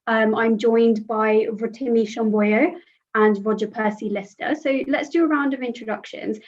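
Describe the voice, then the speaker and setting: A woman's voice: on the high side, fairly even intonation, normal speed. A young woman in her twenties, not a native English speaker, hosting a podcast with polished introductions. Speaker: host. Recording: dull lo-fi audio.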